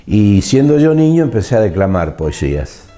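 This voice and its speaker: An older Argentine man from Mendoza with a very low, dark voice. He reminisces in an interview, often repeating words as he searches for the next. Music plays faintly behind him. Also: big pitch swings, moderate pace. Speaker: veteran radio drama actor being interviewed.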